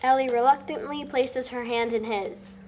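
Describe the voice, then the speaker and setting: A woman's voice: high voice, steady tone, normal speed, darkish tone. A young woman reading stage directions as narrator at a script table read. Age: young adult. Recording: faint background noise.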